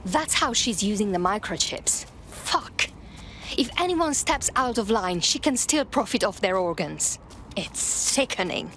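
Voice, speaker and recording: A woman's voice: medium pitch, animated, a little slow, a bit bright, a little hiss or hum. A woman playing a confident hacker operative in a video game, terse and matter-of-fact. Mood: disgusted.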